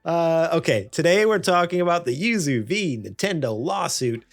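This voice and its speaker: A man's voice: on the high side, animated, moderate pace, dry recording. A man of about thirty hosting a podcast with casual, jokey, self-deprecating banter. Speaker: podcast host. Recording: music playing behind. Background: background music.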